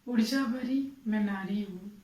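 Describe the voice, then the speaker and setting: A woman from Bengal hosting an event, introducing speakers in slow rhyming verse. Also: mid-range voice, warm tone. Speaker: event host. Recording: roomy sound from a distance.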